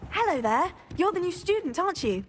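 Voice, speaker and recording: A woman's voice: very high voice, big pitch swings, a little fast. A woman playing a friendly, eager teenage witch schoolgirl in a video game. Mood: friendly, curious.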